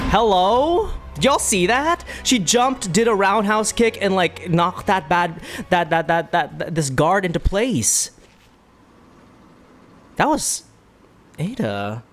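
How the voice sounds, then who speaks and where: Casual game streamer of indeterminate sex, mid-pitched voice, informal and playful, game music clearly behind. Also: fairly bright tone. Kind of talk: casual livestream playthrough commentary. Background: game music and sound effects.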